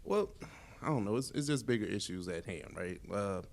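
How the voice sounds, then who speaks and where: A man's voice: lowish voice, expressive, normal speed, gravelly, darkish tone. An American man co-hosting a podcast, talking casually with an American accent. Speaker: podcast co-host. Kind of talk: casual chat.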